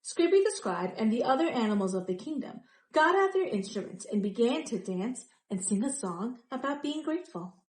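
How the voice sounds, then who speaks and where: High-voiced woman reading a children's storybook. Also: very animated, normal speed, darkish tone, low-quality recording. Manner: dramatic, emphatic word-by-word delivery. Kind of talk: expressive children's storybook narration.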